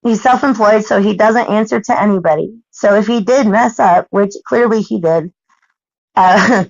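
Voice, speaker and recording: Adult American woman, fry in the voice, halting but persistent in dispute, muffled lo-fi call recording. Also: mid-range voice, dry recording. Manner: insistent, halting, repeating herself. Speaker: parent questioning a caseworker. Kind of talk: argumentative phone conversation. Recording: dull lo-fi recorded phone call.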